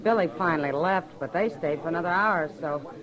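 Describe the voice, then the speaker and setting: A woman's voice: on the low side, brisk, natural voice, low-quality recording. An actress playing a concerned saloon woman in a 1950s western radio drama. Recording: old radio, crowd behind.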